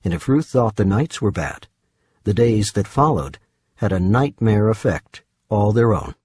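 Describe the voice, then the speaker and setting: A man's voice: on the low side, expressive, a little slow, warm tone, slightly rough, no room sound. A man narrating fiction from a script as an audiobook reader, recorded in a studio. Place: dry studio.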